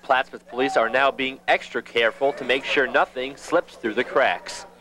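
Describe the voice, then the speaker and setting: An American man reporting television news in a measured, emphatic newscaster delivery. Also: mid-range voice, steady tone. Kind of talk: broadcast news reporting, scripted and live.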